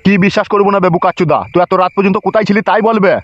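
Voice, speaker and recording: A man's voice: sits high, fairly even intonation, racing, a bit bright, dull audio, faint music behind. A man voicing an irate village father in a comedy cartoon, ranting and scolding with foul-mouthed indignation. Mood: stern.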